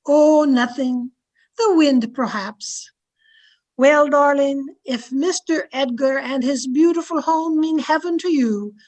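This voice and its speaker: A woman's voice: high voice, low-quality recording. A woman playing a frank, protective housekeeper and confidante, firm and plain-spoken, in old-time radio drama style.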